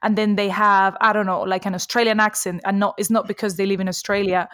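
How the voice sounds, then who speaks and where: Woman, 40s, mixed Colombian-Spanish accent, talkative podcast guest, mid pitch, dry recording. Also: level tone, fast talker, a bit bright, dry recording. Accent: mixed Colombian and Spanish-influenced English. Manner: chatty, laughs often, frequent fillers. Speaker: guest, nutritional therapist. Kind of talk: casual podcast conversation.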